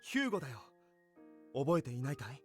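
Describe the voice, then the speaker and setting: Guy voicing polite mysterious gentleman in otome game, soft manners, quick and lilting, music behind. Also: mid-range voice, sing-song, close to an everyday voice. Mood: gentle, wistful. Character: gentle, courteous young man, mysterious amusement park director. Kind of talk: Japanese visual novel voice acting.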